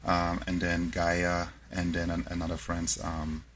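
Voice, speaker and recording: A man with an Austrian accent, a podcast guest talking in a halting, reflective way with many fillers and restarts. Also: sits low, monotone, background noise. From born in the US South, raised in Austria. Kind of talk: casual conversation.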